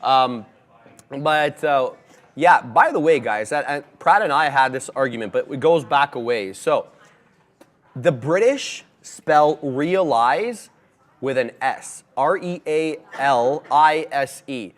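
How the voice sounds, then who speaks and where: A man's voice: mid-range voice, slow. A man co-hosting a live trading show, giving chatty, upbeat market commentary and laughing easily with his co-host. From Egypt.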